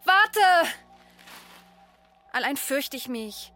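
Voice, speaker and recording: Timid, high-voiced young girl. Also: sing-song, very slow, pushed and bright, faint music behind, heavily acted. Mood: frightened, stubborn. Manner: hesitant, childlike, protesting. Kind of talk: radio drama dialogue.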